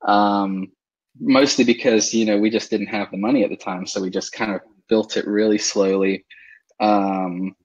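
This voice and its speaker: A man from England, a guest in a casual interview, talking with many fillers and soft laughs. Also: on the low side, steady tone, moderate pace, breathy edge, muffled recording. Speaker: interview guest, online seller.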